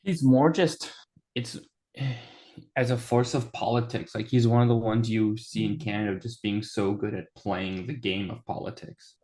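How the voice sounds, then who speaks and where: A man's voice: lowish voice, fairly even intonation, unhurried, darkish tone. A man co-hosting a casual history podcast, teasing his partner and giving wry opinions. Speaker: podcast co-host.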